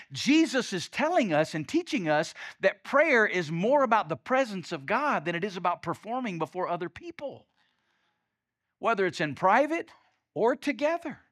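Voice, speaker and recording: A Southern pastor preaches, his voice pitched high for a man and bright in tone. He moves between emphatic peaks and quiet, conversational asides. The sound is dry and close. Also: dry recording. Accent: Southern US (Alabama). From Alabama, US. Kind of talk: sermon.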